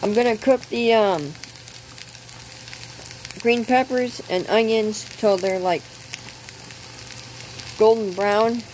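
Slow, hesitant woman cooking outdoors. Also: fairly high pitch, darkish tone, slight background noise, rough recording. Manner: unhurried, hesitant with frequent ums. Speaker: cooking channel host. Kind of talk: casual cooking demonstration. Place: outdoors at a gas grill.

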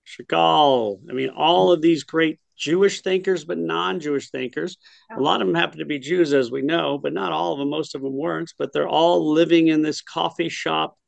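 A middle-aged man lectures an adult class from his home study over a webcam call. His voice is heavily gravelly, the sound close and dry with no room around it. He thinks aloud as he goes, corrects himself and throws questions to the class. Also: on the high side, no echo. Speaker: teacher of an adult history class. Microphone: webcam on a video call.

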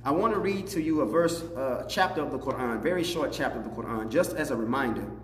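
Echoey middle-aged man preaching deliberately. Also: medium pitch, expressive, a bit bright. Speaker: imam. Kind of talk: religious public address, teaching. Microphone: lapel mic. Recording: echoey room, reverb, faint background noise.

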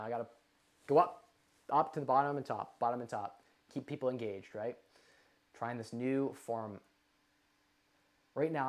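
A man in his twenties hosting a live investing question-and-answer stream from a living room, energetic and gesturing, with lots of "like". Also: mid-range voice. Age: 20s. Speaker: livestream host. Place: living room with a couch, indoors.

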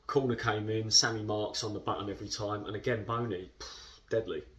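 A man in his thirties, a football club captain, talking through a match in a post-match interview, chatty and measured with many fillers. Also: lowish voice, fairly even intonation, fairly bright tone, recorded from a distance. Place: indoor club room.

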